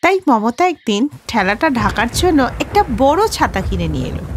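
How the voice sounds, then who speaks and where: A woman narrating a storybook cartoon with expressive storytelling. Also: sits high, noisy background.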